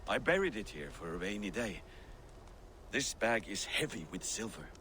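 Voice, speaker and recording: Casting: veteran Viking schemer, lowish voice, conspiratorial. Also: male voice, big pitch swings, normal speed, bright, forceful tone, a little hiss or hum. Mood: nostalgic. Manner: conspiratorial, boastful. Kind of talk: video game cutscene acting.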